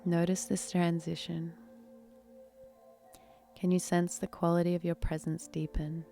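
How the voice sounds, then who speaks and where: Soft, low-voiced woman guiding meditation. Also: level tone, soft background music. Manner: soft, breathy, unhurried delivery with long pauses. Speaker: guided meditation instructor. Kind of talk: guided meditation, soothing instruction.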